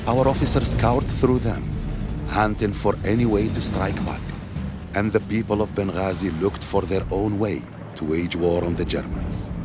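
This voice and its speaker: An actor playing an Allied war veteran, narrating a desert campaign in solemn, reflective fashion for a video game. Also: male voice, on the low side, fairly even intonation, moderate pace, over music, lightly acted. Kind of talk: scripted cinematic narration.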